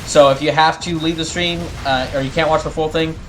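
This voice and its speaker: A man talking casually to his livestream chat as a game streamer, halting and rambling as he corrects himself. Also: a little high, fairly even intonation, fairly bright tone, a little hiss or hum.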